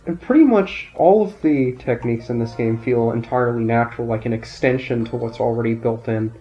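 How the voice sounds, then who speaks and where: A man calmly commentating his gameplay, thinking aloud, his voice nasal and raspy from a sinus infection. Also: mid-range voice, brisk. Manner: calm, explanatory, thinking aloud. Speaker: let's play commentator. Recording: dull lo-fi audio, faint game music.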